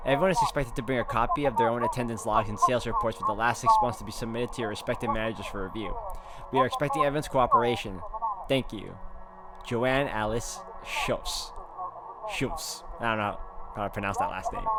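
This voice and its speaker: A man hosting a game walkthrough, reading a visual novel aloud expressively and adding his own commentary. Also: mid-range voice. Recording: loud music over voice.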